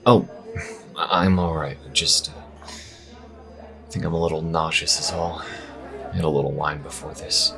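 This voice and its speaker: An actor playing an anxious, withdrawn priest in a fantasy audio drama, with a hesitant, subdued way of talking. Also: male voice, a bass voice, steady tone, unhurried, creaky, pauses often, music in the background, heavily acted. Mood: uneasy. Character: Atlas, anxious, withdrawn head priest and partner.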